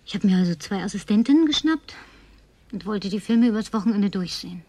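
An actress playing a tipsy, flirtatious, lonely woman survivor in a radio drama, coquettish and slipping into breathy whispers. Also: medium pitch, expressive, brisk, dark tone, no echo, close to an everyday voice. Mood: tipsy reminiscing. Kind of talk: German radio drama acting.

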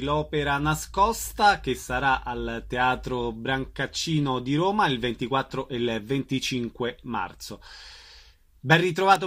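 A man's voice: mid-range voice, a bit bright. A man presenting a radio show, giving announcements and putting questions to his guests. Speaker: radio interview host.

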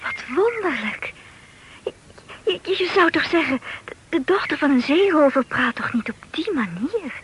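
A high-voiced, curious listener. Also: female voice, very animated, unhurried. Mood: shocked, curious. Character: curious, sympathetic woman listening to a captain's tale. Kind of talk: Dutch radio drama acting. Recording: noisy old radio recording.

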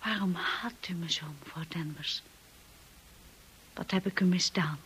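An actress playing an anxious, timid young wife in a radio drama, earnest and breathy. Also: female voice, fairly low pitch, unhurried, fairly bright tone, full character voice. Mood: hurt, indignant. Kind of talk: radio drama acting with narration. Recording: hissy old radio play recording.

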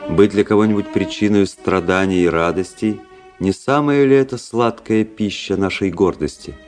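An actor playing a proud, cynical, world-weary young aristocratic officer in a Russian radio drama, speaking in a measured, rhetorical way. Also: male voice, fairly low pitch, a little mellow, soft background music, natural voice. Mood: coolly reflective.

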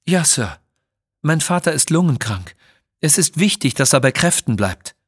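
A polite young girl from China, voiced by a man, pleading and earnest. Her voice sits clearly raised, in a clean, dry children's audio story recording. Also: fairly high pitch, big pitch swings, moderate pace, no echo, pitched above the performer's own voice. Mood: polite, worried.

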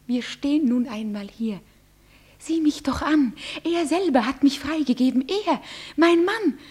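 A woman playing a fearful, devoted young bride, speaking verse in a breathy, often whispered voice. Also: sits high, a little mellow, full character voice.

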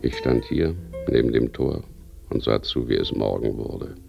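Gravelly, extremely deep, grieving man. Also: exaggerated voice. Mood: melancholy remembrance. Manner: slow, melancholy, reflective. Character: ageing, brooding man mourning a lost beloved. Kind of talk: radio play monologue. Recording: muffled radio drama with guitar behind.

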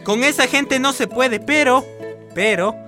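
Radio drama villager: assertive, practical woman, high voice over music. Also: unhurried. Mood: indignant, persuasive. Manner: colloquial, assertive. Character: outspoken, practical village woman proposing ideas.

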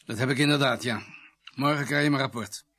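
An actor playing a matter-of-fact police doctor in a radio drama, talking conversationally with a gravelly, husky voice. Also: male voice, mid-range voice, fairly quick, a bit bright, natural voice.

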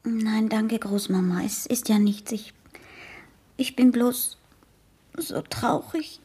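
A woman's voice: on the high side, slow, warm tone, slightly rough, heavily acted. An actress playing an outspoken, proud teenage girl in a German radio play, speaking earnestly and frankly. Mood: weary, sad.

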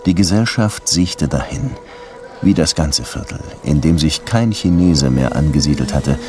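A world-weary detective in a radio play. The voice is very low, measured and dry, dogged yet courteous, with faint music behind it. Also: male voice, animated, warm tone. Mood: reflective. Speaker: lead detective and first-person narrator. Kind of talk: radio drama dialogue and narration.

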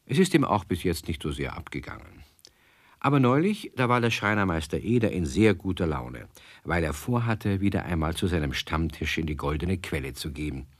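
A man's voice: low-pitched, animated, moderate pace, no room sound. A man playing a genial storyteller, narrating a children's radio play in a wry, conversational way.

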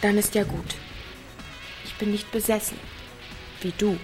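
A woman's voice: mid-range voice, fairly even intonation, measured pace, over music, close to an everyday voice. Wary, blunt teenage village girl, played by a woman. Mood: blunt, irritated. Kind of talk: naturalistic radio drama dialogue.

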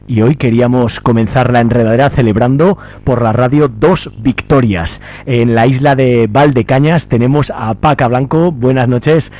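A man's voice: medium pitch, normal speed, a little mellow. A man presenting a radio show and putting questions to guests. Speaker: radio host.

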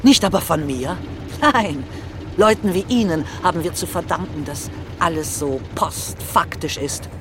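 A woman's voice: on the low side, unhurried, natural voice. A woman playing a defiant crusading journalist who poses as a baroness, theatrical and indignant in her delivery. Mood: indignant, accusing. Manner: theatrical, indignant, grandiose declaiming. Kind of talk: dramatic stage-like acting. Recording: clear background noise, vehicle-like ambience.

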